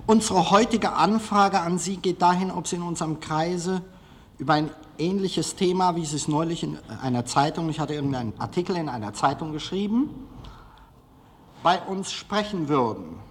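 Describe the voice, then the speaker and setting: A man giving a live public lecture in a hall, hesitant, halting and self-deprecating as he speaks. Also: on the high side. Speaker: film director giving a lecture. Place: large formal auditorium, indoors.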